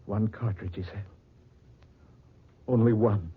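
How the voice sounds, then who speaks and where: An actor playing a guilt-ridden, haunted murderer in a halting, anguished old-time radio drama style. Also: male voice, on the low side, fairly even intonation, husky, heavily acted. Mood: dazed despair. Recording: muffled vintage radio recording with music.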